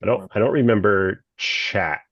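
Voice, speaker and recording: A man with a deep voice gives casual, off-the-cuff answers as a group participant. The audio is dull and lo-fi, with very little room sound. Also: moderate pace, a bit bright, no echo.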